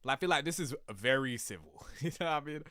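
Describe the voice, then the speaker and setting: A man's voice: medium pitch, animated, fast talker, bright, forceful tone, clean tone, no echo, clean studio sound. A young man giving casual, slangy reaction commentary as a gaming host, with swearing and verbal tags. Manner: slangy, swearing, repeats "you know what I mean".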